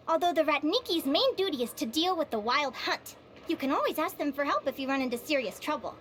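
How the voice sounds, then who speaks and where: A woman playing a cheerful young adventuring advisor in a game, teaching and quizzing playfully in an animated way. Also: high voice, a little hiss or hum. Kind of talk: animated game voice acting, lecture.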